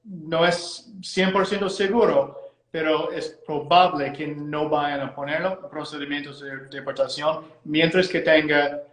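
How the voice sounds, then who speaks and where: An American man with English as his first language speaks Spanish with care, often halting and repeating himself as he explains legal points. His voice sits a bit high for a man. The sound carries an echoey room. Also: steady tone, a bit bright. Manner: hesitant, repeats words, careful phrasing. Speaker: immigration lawyer, guest. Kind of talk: live interview, explaining law.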